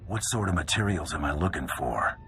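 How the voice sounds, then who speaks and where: A gruff bounty hunter in a game cutscene. His voice is extremely low and hard-edged, with terse, businesslike delivery over music. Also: expressive, brisk, sharp tone, heavily acted. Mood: cool, businesslike. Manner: terse, tough, no-nonsense. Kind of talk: video game cutscene acting.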